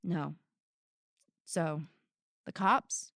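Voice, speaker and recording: A woman's voice: sits low, very slow, gravelly, fairly bright tone, no room sound, clean studio sound, heavily acted. A woman playing a guarded, principled and blunt activist in a detective audio drama. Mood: defiant. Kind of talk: naturalistic audio drama acting.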